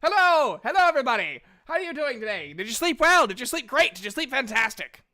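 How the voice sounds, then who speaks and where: Guy doing a super peppy glitchy robot for a tabletop game, high pitched and bright, gushes about everything. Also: big pitch swings, quick pace, exaggerated voice. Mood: bubbly, gushing. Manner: exclamatory, effusive, repeats himself. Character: peppy, gushing Warforged robot with malfunctioning personality chip. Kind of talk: tabletop roleplay character acting.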